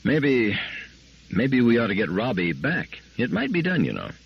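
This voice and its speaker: A man's voice: medium pitch, huge pitch swings, unhurried, gravelly, breathy edge, dry recording, dull audio, pitched above the performer's own voice, full character voice. A man reading a story aloud, voicing a weary husband who grumbles and mutters his complaints. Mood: grumbling.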